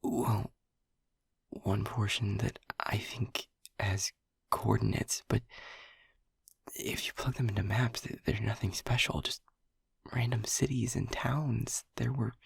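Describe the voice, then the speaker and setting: A man voicing an anxious, devoted technician lover who whispers his pleas in a husky, creaky voice and stammers as he talks. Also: mid-range voice, no echo, exaggerated voice. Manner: hesitant and stammering, pleading. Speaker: audio roleplay performer. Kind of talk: intimate whispered audio roleplay.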